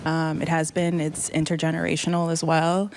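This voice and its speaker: A woman on a live panel speaking in a heartfelt, soft-spoken way. Her voice sits lower than most women's. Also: completely flat delivery, normal speed, slight background noise. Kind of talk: panel discussion.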